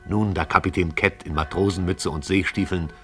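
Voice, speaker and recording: A man narrates a radio play in a very deep voice. He tells the story briskly and vividly, painting each scene. Soft music plays faintly beneath him. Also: fairly even intonation.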